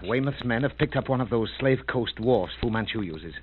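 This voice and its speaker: An actor playing a brisk, commanding detective in a 1930s radio drama, with a clipped, urgent delivery, heard on a tinny, scratchy old recording. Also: male voice, medium pitch, animated, natural voice. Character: brisk commanding British detective, middle-aged man. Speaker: detective lead.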